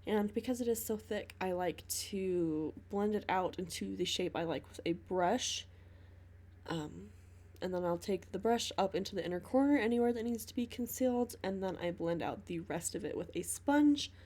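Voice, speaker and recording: A woman's voice: medium pitch, lively intonation, moderate pace, a little mellow. A young woman with a stuffy cold, chatting through a makeup tutorial voice-over with many "um" fillers. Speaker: beauty vlogger.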